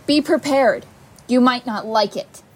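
A woman's voice: on the high side, unhurried. A woman playing an anxious young survivor, hesitant, earnest and pleading, in an audio drama. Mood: subdued.

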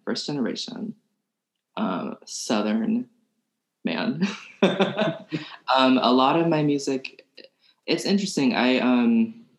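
A young man from Alabama, a podcast guest musician, talking in a soft-spoken, hesitant way with many ums. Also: on the low side. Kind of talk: casual interview chat. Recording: roomy echo.

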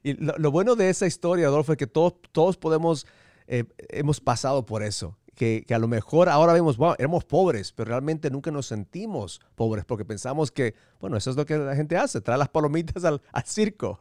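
Podcast host, male, voice a bit high, code-switches into English, very dry recording. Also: animated, brisk, a little mellow, dry recording. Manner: mixes English words into Spanish. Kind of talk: podcast interview.

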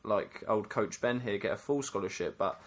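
Low-voiced man playfully co-hosting. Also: normal speed. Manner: playful, teasing; explanatory, steady when giving advice. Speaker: youth soccer coach and podcast co-host. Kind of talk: casual advice chat.

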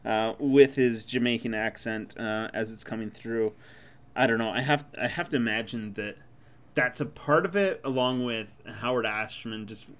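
A man's voice: mid-range voice, normal speed, a bit bright. Enthusiastic rambling man on a podcast. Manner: enthusiastic, rambling, many fillers like "you know" and "uh". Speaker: podcast co-host. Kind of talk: casual chat.

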